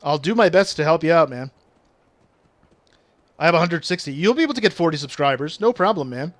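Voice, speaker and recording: A man's voice: on the high side, expressive, a little fast, dry recording. A man with a regional French accent, a native French speaker, chatting casually with viewers on a game stream and reading their messages aloud. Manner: chatty, reads chat aloud, uses big words.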